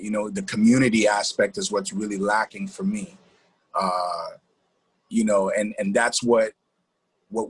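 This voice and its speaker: A man speaks in a fairly low, raspy voice. He reminisces in loose, colloquial language, circling back over phrases. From New Jersey. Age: middle-aged. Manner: colloquial, repeats phrases, gives shout-outs. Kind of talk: casual reminiscing.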